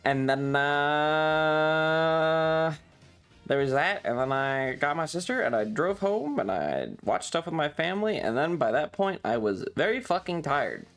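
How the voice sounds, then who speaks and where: Slow-talking man, casual streamer. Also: a little high, sing-song, a bit bright, faint music behind. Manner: sweary, self-deprecating. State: slightly tired. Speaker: livestream host. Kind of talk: casual rambling stream chat.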